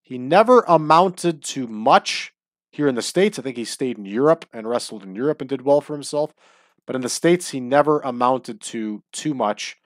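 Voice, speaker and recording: A man's voice: mid-range voice, expressive, moderate pace, dry recording. Stuffy-nosed man chatting over gameplay. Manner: chatty, opinionated asides. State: stuffy nose from allergies. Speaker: let's-play host. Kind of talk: casual gameplay commentary. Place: at home.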